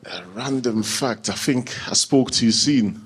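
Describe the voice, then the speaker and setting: A man's voice: mid-range voice, measured pace, husky. A man born in Germany, a life coach on a panel, talking confidently with a joking edge.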